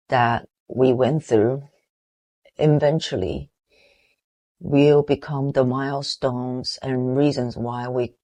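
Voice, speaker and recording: A woman in her forties from Taiwan with a Taiwanese accent, giving an earnest, reflective motivational talk with halting restarts. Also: extremely low pitch, warm tone. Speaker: motivational vlogger.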